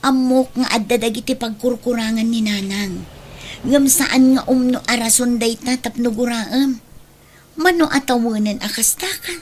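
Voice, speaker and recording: An earnest big sister played by a woman with an Ilocano accent and a fairly high voice. She is caring yet admonishing, in the manner of an audio drama. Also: normal speed, a little hiss or hum, lightly acted. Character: caring, reproving elder sister who defends her mother.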